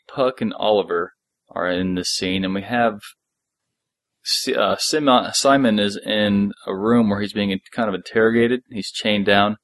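A man with a fairly low voice, recorded dry with little room sound. He talks as a podcast co-host going through a story recap, in a casual, somewhat halting way. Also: dry recording. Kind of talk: casual episode recap discussion.

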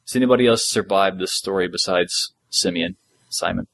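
Man, slightly low voice, podcast host, casual fan chat, dry close sound. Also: sing-song, moderate pace, vocal fry, a bit bright, no room sound.

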